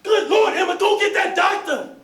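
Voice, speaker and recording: A woman's voice: very high-pitched, normal speed, a bit bright, a faint crowd. A woman playing an earnest, bossy, lovelorn husband in a staged reading with Southern dialect. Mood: commanding, alarmed. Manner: urgent, pleading, commanding. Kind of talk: staged readers theatre, Southern period dialect. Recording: echoey, distant stage recording.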